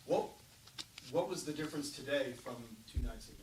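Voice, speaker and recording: A man asking informal, halting questions as a reporter at a press conference. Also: mid-range voice. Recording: noisy echoing room, far from microphone.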